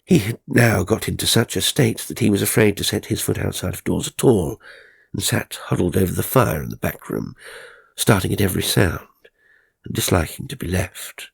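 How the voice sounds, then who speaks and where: A man narrating a horror story in a measured, ominous, theatrical way, with a gravelly, husky voice. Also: deep voice, dry recording. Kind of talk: dramatic scripted horror narration. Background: faint music.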